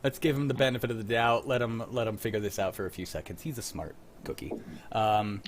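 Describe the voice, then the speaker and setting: A man's voice: fairly low pitch, fairly even intonation, fairly quick, a crowd in the background. A man hosting a livestreamed script reading with casual, unscripted chat.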